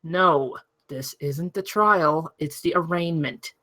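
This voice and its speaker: A woman's voice: deep voice, slow, bright, forceful tone, full character voice. A woman voicing a stern, indignant judge losing all patience in a comedy script table read. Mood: exasperated. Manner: indignant, scolding.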